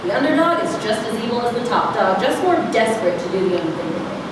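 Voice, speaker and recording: A woman's voice: fairly high pitch, quick pace. A woman playing a bitter, sarcastic teenage girl in a staged script reading, delivering biting speeches. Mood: bitter, accusing. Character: Josephine, scornful, bitter, eloquent teenage girl. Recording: distant echoey room, steady background noise.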